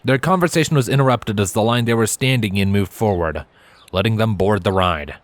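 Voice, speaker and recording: A man's voice: lowish voice, a little fast, no echo. A man reading scripted story narration as the narrator.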